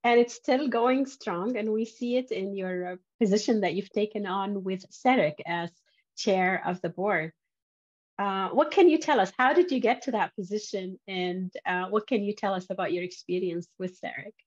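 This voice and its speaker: A woman's voice: mid-range voice, normal speed. A middle-aged woman interviewing a guest conversationally as host, recorded over a video call. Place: indoor room, video call. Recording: muffled band-limited video call audio.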